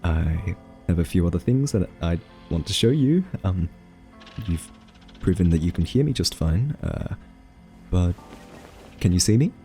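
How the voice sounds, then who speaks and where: A man playing a quirky scientist in an ASMR roleplay, soft-spoken and hesitant, gently patronizing as he coaxes a captive AI. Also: low voice, very animated, gravelly, soft background music, full character voice. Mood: patient, coaxing. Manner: hesitant, halting, politely condescending.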